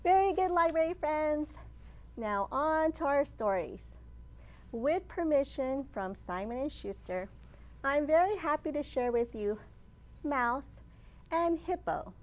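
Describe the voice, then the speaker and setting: A woman's voice: high voice, darkish tone. A woman in her thirties hosting a children's storytime, speaking in simple, encouraging phrases to young listeners. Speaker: children's librarian, storytime host. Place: indoors in a library children's area.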